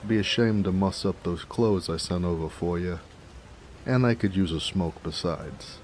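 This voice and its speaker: An actor playing a jovial, affectionate big 1920s mobster with an American accent, in a folksy, teasing noir audio drama. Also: male voice, sits low, moderate pace, a little mellow, a little hiss or hum, natural voice. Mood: delighted, exclaiming. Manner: folksy, teasing, chatty, chuckling. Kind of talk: noir romance audio roleplay, 1920s gangster slang. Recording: faint rain ambience behind voice. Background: rain.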